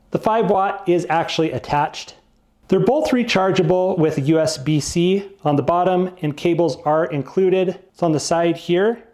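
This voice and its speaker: A man's voice: fairly high pitch, warm tone. A man giving a casual product review, explaining things plainly, step by step.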